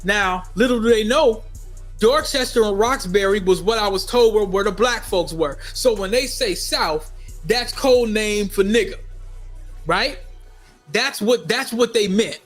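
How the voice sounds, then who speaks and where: A middle-aged man from Minnesota telling a personal story in a casual, animated way, repeating phrases for emphasis. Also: high voice, a little slow, soft background music. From United States, Minnesota. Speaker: storyteller vlogger.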